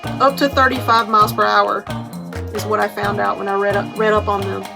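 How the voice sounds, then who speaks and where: A woman's voice: medium pitch, flat delivery, a little fast, vocal fry, over music. An American woman hosting a storytime as a children's librarian, putting simple questions and explanations to young children.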